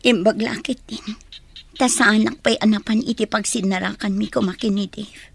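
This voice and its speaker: A woman's voice: mid-range voice, fairly even intonation, normal speed, gravelly, husky, no room sound. A woman playing a heartbroken, guarded woman in a radio drama, with a Filipino Ilocano accent. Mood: hurt, soft.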